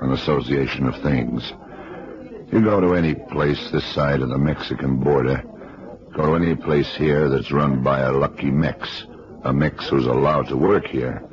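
An actor playing a calm, observant male detective in a radio drama, measured and explanatory in delivery. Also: a bass voice. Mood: knowing, instructive. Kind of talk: 1960s-70s radio drama acting. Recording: dull old radio recording, background chatter.

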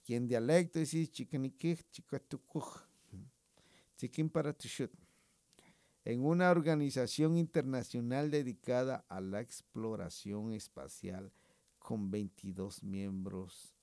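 A man's voice: mid-range voice, a little slow, warm tone, no echo, studio quality. A man doing a slangy, rambling comic monologue, laughing often. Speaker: comedian and music presenter. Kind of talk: comic monologue with put-on voices.

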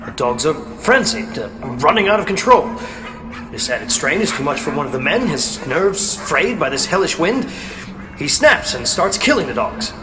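A man's voice: fairly high pitch, very animated, normal speed, music in the background, low-quality recording. An actor playing an earnest male expedition member in a radio drama, who explains his theories. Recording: audible background noise.

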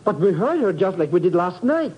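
A man playing a nervous, querulous, slow-witted sidekick in a 1950s radio drama. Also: high voice, very animated, slightly rough, exaggerated voice. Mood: nervous, puzzled. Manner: querulous, bewildered, broken grammar. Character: jittery, grumbling, slow-witted male sidekick to a spy. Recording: muffled, noisy, roomy vintage radio recording.

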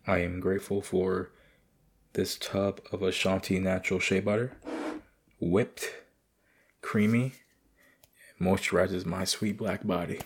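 A young man hosting his podcast, rambling and swearing in a casual late-night monologue with a raspy voice. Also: deep voice, level tone, takes it slowly, long pauses. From United States. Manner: profane, rambling, loose. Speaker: podcast host.

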